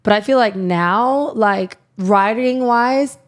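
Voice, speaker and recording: Young woman guest from Iowa, raspy, loose rambling delivery. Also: a little high, takes it slowly. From Iowa, USA. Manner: rambling, lots of "like" fillers. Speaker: guest, country singer. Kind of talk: casual interview chat.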